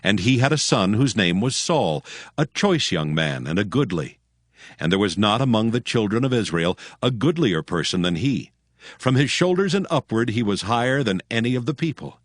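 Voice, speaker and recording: A man narrating scripture from a script in a measured storytelling manner. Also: on the low side, big pitch swings, no room sound, studio quality.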